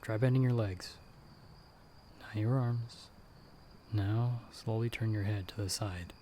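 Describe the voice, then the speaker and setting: Man acting a low-voiced sardonic monster hunter. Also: takes it slowly, a little hiss or hum. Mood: tense, instructing. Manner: hushed, stern, sardonic, urgent instructions. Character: gruff, sardonic male monster hunter, a disembodied guiding voice. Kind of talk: ASMR audio roleplay, addressing the listener.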